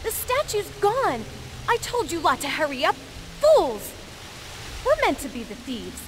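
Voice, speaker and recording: A short-tempered female thief boss from a fantasy game. She barks impatiently in a high, animated voice over clear rainy noise. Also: a little slow, heavily acted. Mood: angry, impatient. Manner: barking, impatient. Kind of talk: fantasy video game voice acting.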